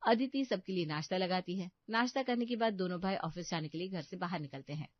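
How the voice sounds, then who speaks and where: A woman reading a cartoon bedtime story as narrator, in an even, clear storybook manner. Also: on the low side, quick pace, no echo. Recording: dull, muffled studio audio.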